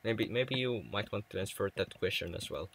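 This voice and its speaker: A man's voice: lowish voice, moderate pace, a bit bright, a little hiss or hum. A young man from Finland with a Finnish accent, chatting casually with viewers on a game livestream, often stumbling and restarting words. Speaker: livestream gamer.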